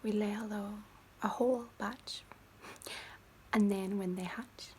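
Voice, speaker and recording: A woman's voice: mid-range voice, fairly even intonation, slow pace, warm tone, a little hiss or hum, close to an everyday voice. A woman voicing sea turtles crawling up a beach, in a whispered storybook reading. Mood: calm.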